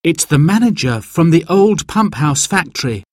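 A man voicing a worried mother in a children's story, with an anxious, gentle manner. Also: fairly high pitch, sing-song, normal speed, no echo, clean studio sound, pitched above the performer's own voice.

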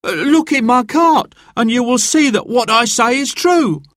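Brief: a man playing a scared poor butcher, high, begging, slow. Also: animated, a bit bright, dry recording, studio quality, exaggerated voice. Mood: pleading. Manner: pleading, high and strained. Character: terrified poor male butcher, pleading.

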